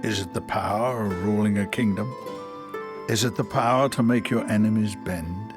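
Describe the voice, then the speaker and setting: An actor playing a sly, wry royal advisor who narrates a fantasy audio drama in a measured, courtly, sardonic way. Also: male voice, lowish voice, close to an everyday voice. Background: music.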